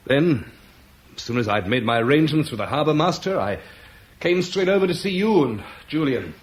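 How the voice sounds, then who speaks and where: A man's voice: a little high, lively intonation, normal speed, close to an everyday voice. Guy playing a tired roman tribune, dry soldierly talk, goes up and down a lot, old radio hiss. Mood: restrained. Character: weary middle-aged Roman tribune officer. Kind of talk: 1960s BBC radio drama acting.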